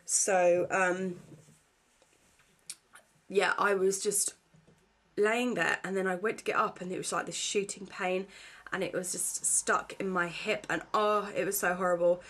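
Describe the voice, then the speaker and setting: A woman's voice: lowish voice, little pitch movement, measured pace, fairly bright tone. A young woman, chatting casually to camera at home, rambling with lots of fillers, her nose blocked and congested. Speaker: vlogger.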